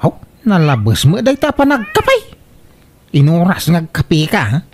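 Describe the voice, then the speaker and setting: A woman's voice: on the low side, huge pitch swings, normal speed, slightly rough, exaggerated voice. A woman voicing a grumpy, scolding husband in an Ilocano comedy radio drama, full of questioning grunts. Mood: nagging. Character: grumpy, scolding old husband, exasperated and teasing.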